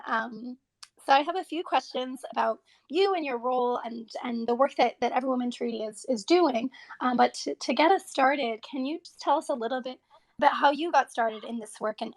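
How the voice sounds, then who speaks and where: A woman's voice: high voice, a little fast, rough recording. A woman hosting an interview podcast, introducing the show and its guests eagerly, with frequent ums and restarts. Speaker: host.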